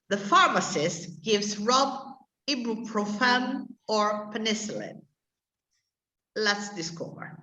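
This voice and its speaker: A woman's voice: mid-range voice, sharp tone, roomy sound, poor audio. A woman teaching English to adult learners, stopping often to search for words mid-sentence. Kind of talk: classroom explanation to adult learners.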